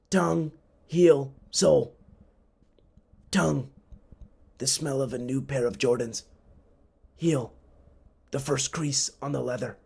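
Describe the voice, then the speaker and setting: A man's voice: medium pitch, creaky, darkish tone, breathy edge. A man narrating a scripted tale for a puppet show in a theatrical, solemn, literary manner.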